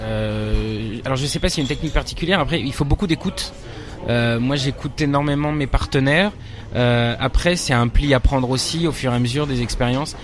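A young man from France who acts and directs on stage talks through his answers in an interview. He stops often, fills gaps with hesitations and restarts his sentences. His voice sits at an ordinary middle pitch for a man. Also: brisk. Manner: hesitant, many fillers and restarts.

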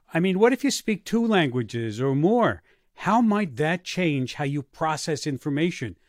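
A man hosting a radio science show, giving measured, scripted introductions and interviewing a guest on stage. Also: on the high side, no room sound, studio quality. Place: theater stage before a live audience.

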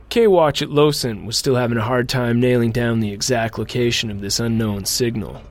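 A man's voice: mid-range voice, lightly acted. A man playing a haunted pilot, narrating a horror audio drama in a solemn, dramatic and ornate manner. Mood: reflective. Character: haunted male robot pilot recounting cosmic horror. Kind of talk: scripted first-person horror narration.

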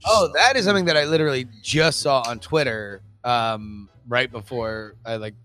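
A man with a gravelly, hoarse, worn-out voice chatting casually and emphatically as a show guest. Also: mid-range voice, moderate pace, bright, forceful tone, no echo, soft background music. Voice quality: gravelly, strained, trashed voice. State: hoarse after a convention. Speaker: guest, politics podcaster. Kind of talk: casual chat.

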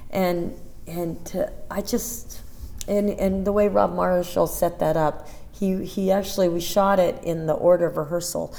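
A woman in her fifties, a dancer, chatting in an interview and telling animated stories with many restarts and asides. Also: fairly low pitch, measured pace, vocal fry. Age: 50s. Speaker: interview guest, Broadway dancer. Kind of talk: casual interview chat.

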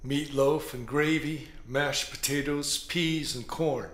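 A man acting an unhurried, mocking death-row prison guard in an audio drama. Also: on the high side, fairly even intonation, a bit bright. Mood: mocking, casual. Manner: unhurried, mocking, matter-of-fact. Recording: roomy echo.

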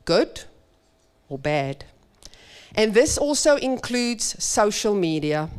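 A woman preaching in church, her Afrikaans. She speaks very slowly and with emphasis, in a smooth, clear voice that sits a little low for a woman. Her delivery is earnest and measured. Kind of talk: sermon.

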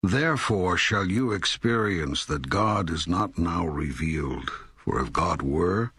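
An actor reading God in an audiobook dialogue as a calm, authoritative teacher, with measured, solemn delivery and deliberate emphasis. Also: male voice, low voice, breathy edge, no echo, clean studio sound, heavily acted. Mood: solemn, instructive. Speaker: audiobook co-narrator.

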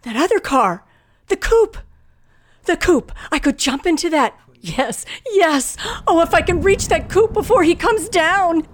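A woman's voice: very high voice, lively intonation, a little slow. An actress playing a nervous, frightened young woman driver who tells her ordeal in a breathless, suspenseful old radio thriller style. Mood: panicked. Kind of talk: old-time radio thriller acting and first-person narration. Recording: vintage radio drama with music underneath.

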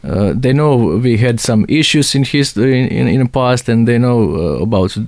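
Halting Croatian-accented man, mellow voice. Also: medium pitch, expressive, dry recording. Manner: halting, searching for words. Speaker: interview guest. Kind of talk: conversational interview.

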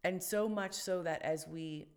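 A woman co-hosting a casual faith podcast, speaking in a low voice with a gravelly edge. She circles her thoughts with fillers and restarts. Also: a little slow. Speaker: podcast co-host.

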